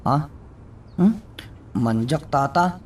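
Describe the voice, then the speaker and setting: A man acting as an earnest, burdened young nephew in an Ilocano radio drama, with an Ilocano accent and a creaky voice. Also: mid-range voice, animated, slow. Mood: troubled. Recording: distant mic, faint background noise.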